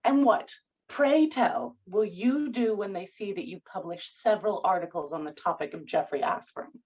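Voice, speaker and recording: A woman's voice: medium pitch, moderate pace, darkish tone, slightly rough, natural voice. A woman at a screenplay table read playing a sardonic, disapproving lover with dry, arch, pointed delivery. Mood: arch, probing.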